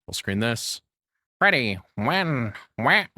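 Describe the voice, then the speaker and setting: A man's voice: mid-range voice, big pitch swings, slow, pushed and bright, no room sound, clean studio sound. A man livestreaming in a casual, rambling, self-deprecating way, sounding a little nervous as he chats and reacts. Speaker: streamer. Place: hot home room.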